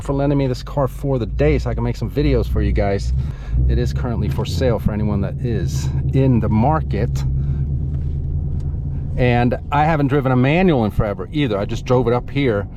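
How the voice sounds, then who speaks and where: A man's voice: medium pitch, fairly quick. Chatty Swedish-born man over engine noise. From Sweden. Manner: enthusiastic, chatty, loose filler words. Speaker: car vlogger. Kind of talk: casual driving commentary. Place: inside a moving car. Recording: loud engine and car noise. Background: engine and exhaust noise.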